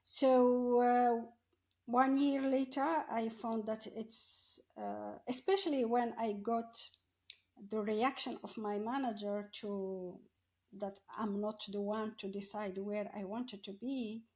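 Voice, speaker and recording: A middle-aged woman. She talks slowly and haltingly, feeling for her words as she shares a personal story as an interview guest. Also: fairly high pitch, steady tone, darkish tone. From Morocco. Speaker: podcast guest, coach.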